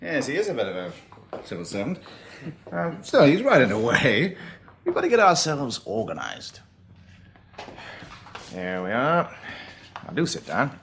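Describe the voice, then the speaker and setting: A man acting in a radio drama as a brisk, genial organiser of survivors, polite as he gives out instructions. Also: medium pitch, wildly swooping pitch, vocal fry, noisy background, exaggerated voice. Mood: brisk, affable. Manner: brisk, polite, hesitant fillers between orders.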